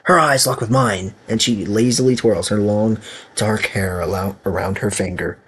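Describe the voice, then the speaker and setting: Italian streamer stumbling through a story. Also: male voice, on the low side, moderate pace, slightly rough. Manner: stumbling reading with sudden loud outbursts and swearing. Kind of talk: reading a visual novel aloud on stream.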